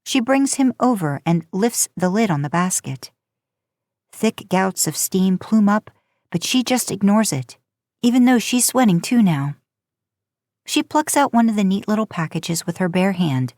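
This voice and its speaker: A woman's voice: medium pitch, animated, normal speed, darkish tone, dry recording, clean studio sound. A woman reading a story aloud as scripted narration. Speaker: podcast host narrating fan fiction.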